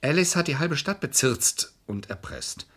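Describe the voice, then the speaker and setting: A man acting in a German radio crime drama as a determined, businesslike lawyer, curt, controlled and insistent, with a husky voice. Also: a little high, big pitch swings, a little slow, fairly bright tone, full character voice. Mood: guarded.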